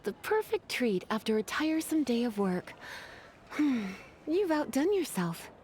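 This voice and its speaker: Casting a coquettish, refined witch librarian for a game: highish, very expressive pitch, teasing delivery. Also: a little slow, slight background noise. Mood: pleased, teasing. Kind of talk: video game voice acting.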